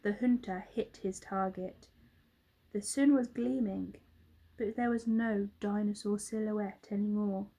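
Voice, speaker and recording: A woman narrating a children's storybook in a dramatic, expressive way. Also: medium pitch, a little slow, poor audio. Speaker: storybook reader, teacher.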